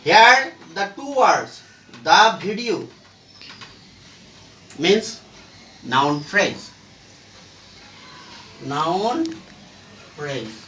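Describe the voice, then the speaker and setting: A male teacher with a Bangladeshi accent speaks slowly in a high, bright voice. He lectures formally, phrase by phrase with deliberate breaks. The recording is echoey and picked up from a distance. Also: big pitch swings. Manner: deliberate, halting phrase-by-phrase delivery. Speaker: English grammar teacher. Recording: echoey room, distant mic, faint noise.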